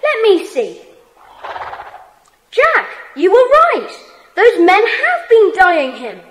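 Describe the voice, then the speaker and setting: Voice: very high voice, wildly swooping pitch, slow, fairly bright tone, full character voice. A performer playing a brave, kind young schoolboy who leads a secret club in a children's audio drama, earnest and eager. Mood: soothing, kind. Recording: slightly noisy cassette.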